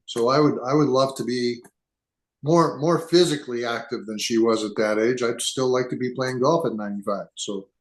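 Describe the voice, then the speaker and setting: A man in his seventies, born in England, talking thoughtfully as a podcast guest and often restarting his sentences. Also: medium pitch. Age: 70s. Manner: thoughtful, rambling with frequent restarts. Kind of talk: relaxed conversational interview. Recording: echoey room.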